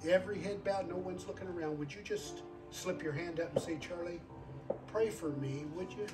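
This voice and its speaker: High-pitched older man preaching, distant sound. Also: normal speed, music in the background. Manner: earnest, repeats phrases for emphasis, calls for amens. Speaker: guest preacher. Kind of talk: sermon with altar call.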